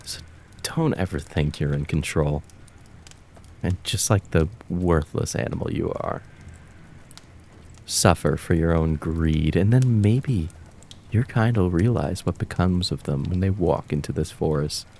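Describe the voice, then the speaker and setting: A man plays a cruel kitsune of the forest in an ASMR roleplay. The voice sits very low and moves slowly, drawing out each taunting threat. Background ambience is clearly audible behind it. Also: darkish tone, a little hiss or hum. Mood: cruel, commanding. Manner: slow, menacing, mocking, with drawn-out words. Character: cruel, taunting kitsune fox spirit of the woods.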